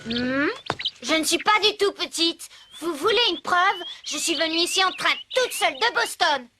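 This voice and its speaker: A woman's voice: very high-pitched, lively intonation, normal speed. An actress voicing a bold, impatient, independent little girl in a cartoon, spirited and self-assured, with music behind her. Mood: cheeky, confident. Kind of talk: French cartoon dub acting.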